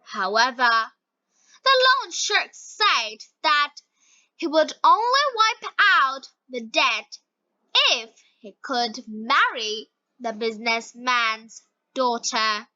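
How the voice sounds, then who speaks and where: A woman reading scripted story narration for language learners, word by word with frequent pauses. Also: a little high, expressive, sharp tone, dry recording, highs cut off.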